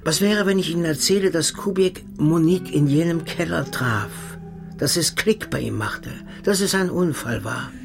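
An actor playing a cold, smug male secret-service agent in a radio play. He speaks calmly and mockingly, sometimes dropping to a hushed, sinister tone. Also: a little high, moderate pace, gravelly, music in the background. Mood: coldly mocking. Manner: calm, mocking, sinister, drops to hushed tones.

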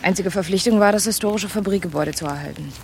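A woman's voice: medium pitch, fast, darkish tone, a little hiss or hum, close to an everyday voice. An actress playing a rich businessman's sardonic, outspoken daughter in a radio crime drama, with a blunt, mocking way of talking. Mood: bitter, blunt.

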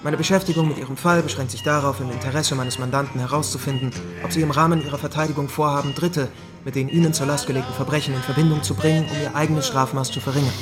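Ein Schauspieler spielt in einem Krimihörspiel einen jungen Anwalt, der förmlich und bedächtig im Auftrag von Gangstern unterschwellig droht. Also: male voice, a little high, fairly even intonation, lightly acted. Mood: coolly menacing. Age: young adult. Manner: formal, deliberate, veiled threat. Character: young male lawyer, coolly menacing mob go-between. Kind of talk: crime radio drama acting. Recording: loud music behind.

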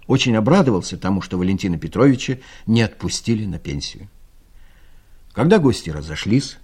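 A man narrating an audio play from a script in an expressive storytelling manner. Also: mid-range voice, breathy edge.

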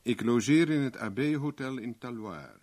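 An actor playing a cool private investigator in a radio play, dry and nonchalant with an ironic edge. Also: male voice, medium pitch, moderate pace, a little mellow, natural voice. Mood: businesslike. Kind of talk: 1970s Dutch radio play acting.

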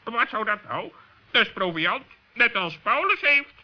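Sly male raven, high-pitched, chattering, self-righteous, 1960s children's radio play, dull recording. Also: sing-song, a little slow, heavily acted. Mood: defensive.

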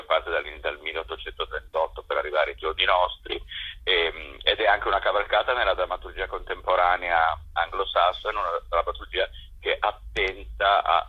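A man's voice: deep voice, husky. A man, a theatre co-director, speaking as a guest in a radio interview by phone, hesitant and often repeating words as he searches for them. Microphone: telephone. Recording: tinny phone line.

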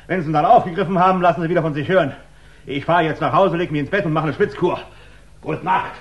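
An actor playing an irritable, blustering official in a 1960s German radio crime drama, agitated and indignant. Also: male voice, fairly high pitch, fairly even intonation, quick pace, close to an everyday voice. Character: irritable, blustering male official, loudly blaming others. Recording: dull old radio recording, voices behind.

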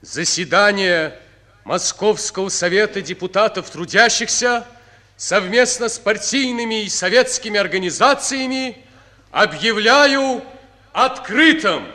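A loud, high, booming ceremonial announcer. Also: male voice, long reverb tail. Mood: triumphant, ceremonial. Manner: loud, drawn-out, solemn proclamation. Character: booming ceremonial male radio announcer at assembly. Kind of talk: 1940s Soviet public announcement.

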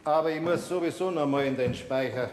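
A man's voice: a little high, fairly quick, roomy sound, background noise, natural voice. An actor playing a puzzled, obliging male neighbor in a German children's radio play.

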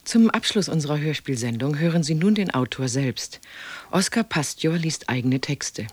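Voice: mid-range voice, animated, moderate pace, no room sound. A radio announcer reading a scripted introduction to a programme in a formal, informative style.

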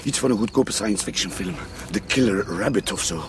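An actor playing an alarmed father in a radio drama, with a Flemish accent and a dramatic delivery that moves between hushed and agitated. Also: on the low side, normal speed, background noise, close to an everyday voice. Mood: puzzled, tense. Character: alarmed adult man, a father, facing killer rabbits.

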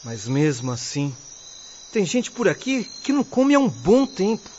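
Man playing a high-voiced, humble, devout farmer. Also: sing-song, unhurried, darkish tone, slight background noise. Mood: earnest. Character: humble, devout, good-humored poor male farmer. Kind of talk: Brazilian radio drama acting. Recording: dull lo-fi audio.

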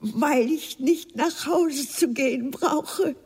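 An actress playing a wistful runaway lady in a German radio play, gentle, deliberate and confiding, in a reverberant sound. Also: sits high. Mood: dreamy, relieved. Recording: long hall-like reverb.

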